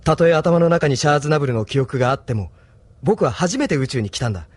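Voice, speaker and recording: A man playing a thoughtful, homesick young resistance leader in an audio drama, soft-spoken and reflective. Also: fairly high pitch, expressive, fast, no room sound. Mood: earnest, doubtful. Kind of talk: anime-style audio drama acting.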